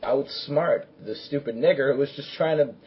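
A man with a husky voice giving opinions in casual, coarse and blunt live talk. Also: medium pitch, moderate pace, slight background noise. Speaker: talk show participant. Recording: dull lo-fi audio.

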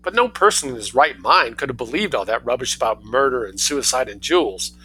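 A man's voice: medium pitch, expressive, a little fast, bright, forceful tone, faint music behind, low-quality recording. A man reading a story aloud, voicing a cool, sarcastic young man who snaps back dryly. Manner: snappish, dry.

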